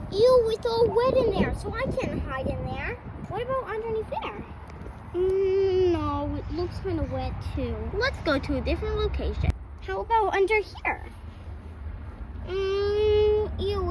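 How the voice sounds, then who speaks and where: A child improvising narration for doll play, thinking out loud while exploring. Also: very high voice. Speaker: doll-play video performer. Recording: very noisy handheld outdoor recording.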